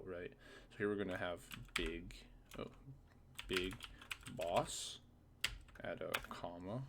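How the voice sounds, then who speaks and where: A man's voice: deep voice, creaky, fairly bright tone. A man presenting a casual screen-recorded game modding tutorial, informally thinking aloud with some hesitant repetition. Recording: faint noise, keyboard clicks.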